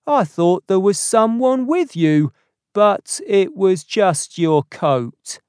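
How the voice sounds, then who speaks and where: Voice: mid-range voice, animated, slow, darkish tone, smooth, dry recording, studio quality, voice pushed up. A narrator voicing a polite ticket man in a storybook, calling out to passengers. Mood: apologetic. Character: apologetic male ticket man at a port.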